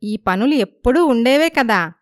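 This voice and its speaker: A woman voicing a friendly, flattering village sister-in-law who gossips, in a moral story. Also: on the high side, a little slow, no echo, clean studio sound. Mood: coaxing, catty.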